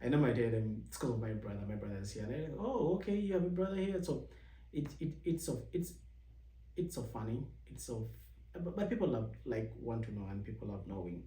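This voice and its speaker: A young man from Uganda talks through his experiences in a relaxed, rambling way, often restarting his sentences. His mellow voice sits a little back from the mic in an echoey room, and it jumps higher whenever he mimics someone asking him a question. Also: brisk, distant mic. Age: young adult. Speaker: vlog host. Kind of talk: casual personal storytelling.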